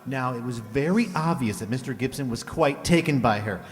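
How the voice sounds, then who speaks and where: An actor playing a polite, gossipy hotel employee in a radio play, talking in a measured, informative way. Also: male voice, medium pitch, close to an everyday voice. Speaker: amateur radio drama actor. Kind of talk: old-time radio play dialogue. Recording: echoey hall, long reverb.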